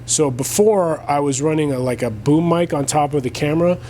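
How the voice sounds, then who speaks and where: Deep-voiced man, garage vlog host, lapel mic, chatty and meandering. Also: fairly quick, darkish tone, a little hiss or hum. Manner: chatty, thinking aloud, frequent uh and restarts. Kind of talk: casual talk to camera. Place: home garage workshop.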